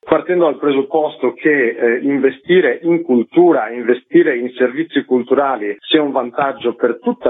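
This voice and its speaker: A man's voice: mid-range voice, slightly rough. A man speaking as an activist guest in a radio interview, explaining his case earnestly in repeated, list-like phrases. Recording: muffled narrow phone line on radio.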